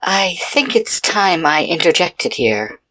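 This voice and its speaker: A serious guardian woman acted by a woman with a lowish voice, in a fan audio drama. The delivery is blunt and deliberate, with some unease. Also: sing-song, pushed and bright, heavily acted. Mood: serious, uneasy.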